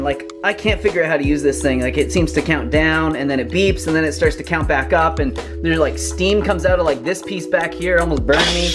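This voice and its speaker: An upbeat young man chats straight to the camera as he cooks, addressing viewers casually and warmly. He sounds like he is in a small home kitchen. Music plays clearly underneath his voice. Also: fairly high pitch. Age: young adult. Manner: upbeat, chatty, addresses viewers as "you guys". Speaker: cooking channel host. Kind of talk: casual cooking vlog to camera.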